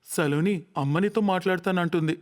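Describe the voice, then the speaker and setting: A man playing a gentle young bridegroom in a cartoon story, in a reverberant recording. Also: sits high, a little fast, natural voice. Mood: friendly. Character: young bridegroom phoning his fiancée, gentle.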